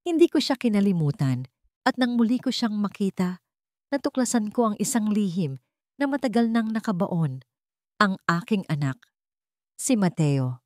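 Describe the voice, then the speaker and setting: A woman's voice: medium pitch, big pitch swings, moderate pace, pauses often, a little mellow, no room sound, clean studio sound, pitched above the performer's own voice, close to an everyday voice. A woman voicing a protective, determined male CEO in a dramatic storybook, solemn and emphatic.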